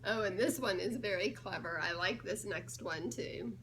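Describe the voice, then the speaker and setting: A woman reading a picture book aloud to young children in a playful, expressive way, pausing to ask guessing questions. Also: mid-range voice, slight background noise, poor audio. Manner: playful, expressive, drawn-out delivery of key words with teasing pauses. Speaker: children's storybook reader and church children's ministries director.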